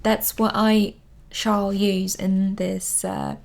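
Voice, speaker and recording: A woman about thirty talks to camera in English, which is not her first language. Her pace is slow and unhurried, with frequent ums and restarts as she searches for words. She keeps stressing points by repeating them. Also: medium pitch, level tone, creaky, darkish tone. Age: 30s. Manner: hesitant, many ums and restarts; earnest, repeats words for emphasis. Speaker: vlogger. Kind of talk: casual advice talk to camera. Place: living in London.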